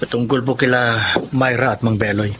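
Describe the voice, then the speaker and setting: A man's voice: medium pitch, fairly even intonation, normal speed, noisy background, close to an everyday voice. A man voicing a respectful young son who earnestly confronts his father about mistreating the maid. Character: young rich son, respectful but firm.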